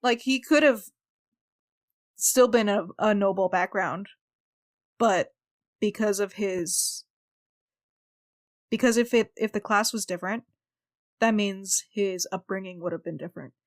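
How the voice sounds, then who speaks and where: A woman podcaster chatting casually and unscripted, pausing often to reformulate her thoughts. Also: medium pitch. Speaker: podcast cast member and player.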